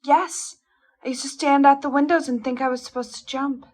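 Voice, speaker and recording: Spooked, balky sibling for haunted-house audio drama, played by a woman; high-pitched voice. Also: fairly even intonation, moderate pace, a little mellow, lightly acted. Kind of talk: audio play dialogue.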